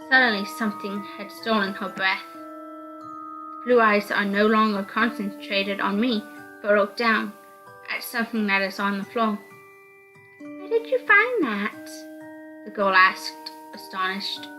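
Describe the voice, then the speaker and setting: Bright-voiced woman reading a story. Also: medium pitch, low-quality recording. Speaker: narrator, visual novel reader. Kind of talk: first-person story narration read aloud.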